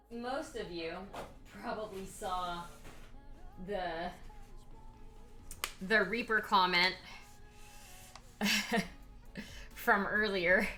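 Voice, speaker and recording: A hoarse woman streaming herself painting miniatures, chatting casually with many ums and reading chat aloud. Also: medium pitch, bright, forceful tone. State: hoarse, voice partly lost.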